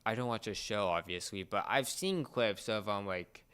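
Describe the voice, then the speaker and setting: A young man co-hosting a casual podcast chat, speaking in a halting, rambling way and often repeating words. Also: lowish voice, a bit bright, clear, resonant tone. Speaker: podcast co-host.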